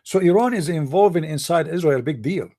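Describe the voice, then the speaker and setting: A man whose first language is Arabic, giving a heated casual monologue, often pausing and searching for words. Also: fairly high pitch, animated, dark tone. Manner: halting, searching for words, many fillers; heated, blunt opinions. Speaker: commentator.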